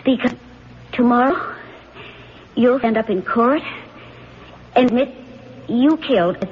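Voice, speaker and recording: A woman's voice: a little high, slow pace, husky. An actress playing an insistent, secretive woman in a 1970s radio drama, hesitant yet commanding. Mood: urgent, secretive. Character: insistent, secretive woman coaching an accused man. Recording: dull, noisy 1970s radio recording.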